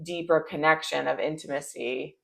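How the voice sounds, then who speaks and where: A woman with a low voice for a woman, co-hosting a casual chat and talking freely with many fillers. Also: fairly even intonation, normal speed, vocal fry. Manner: chatty, frequent "like" and "you know". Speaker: co-host.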